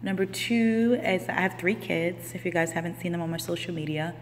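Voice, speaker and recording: A woman in her thirties, coaching casually on a live stream with a slightly low voice. She talks in a relaxed, rambling way, full of ums. The sound carries a long reverb and a faint hum. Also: a little fast. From Houston, Texas. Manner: relaxed, chatty, many ums and restarts. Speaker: life coach.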